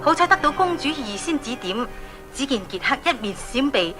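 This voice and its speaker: High, animated woman storyteller. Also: normal speed, loud music behind the voice. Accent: Hong Kong. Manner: animated, exclamatory. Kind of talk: dramatised children's story narration.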